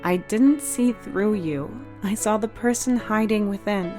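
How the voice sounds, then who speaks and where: A woman's voice: medium pitch, a little slow, voice pushed up, close to an everyday voice. Woman voicing a tender, playful young sister over music. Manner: soft-spoken, reassuring, teasing. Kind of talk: dramatic audiobook character dialogue. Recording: music behind the voice.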